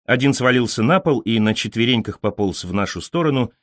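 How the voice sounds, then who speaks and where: Man narrating an audiobook, very lively intonation. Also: medium pitch, a little fast, no echo, studio quality. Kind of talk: scripted literary narration.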